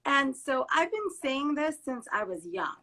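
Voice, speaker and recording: Guest therapist, woman from Trinidad, bright and fairly high voice, earnest, emphatic delivery, video call. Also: very animated, measured pace. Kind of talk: casual conversation on a live stream.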